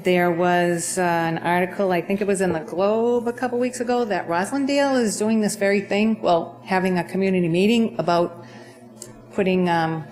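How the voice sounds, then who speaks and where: A soft-spoken woman city councilor reading a motion and speaking hesitantly in a council meeting. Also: on the low side, fairly even intonation, moderate pace. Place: council chamber.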